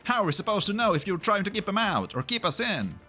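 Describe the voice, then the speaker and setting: A man's voice: sits high, big pitch swings, fast, sharp tone, husky, soft background music, exaggerated voice. A man playing a tense, suspicious fighter in an amateur sci-fi game fan dub. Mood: agitated, suspicious.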